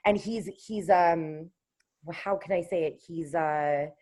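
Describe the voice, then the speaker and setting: A woman with a low, contralto-leaning speaking voice talks casually as a guest on a radio interview. She pauses often, circles back with fillers and restarts, and speaks in a lowish register. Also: darkish tone. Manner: hesitant, with many fillers and restarts. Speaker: singer-songwriter guest.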